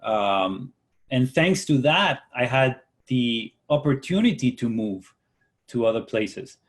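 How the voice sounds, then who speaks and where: A man in his forties who grew up in Latin America with Spanish as his first language, teaching an online workshop in a relaxed, rambling way with many fillers and restarts. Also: medium pitch, slow pace. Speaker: workshop instructor, concept artist. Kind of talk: casual online workshop talk.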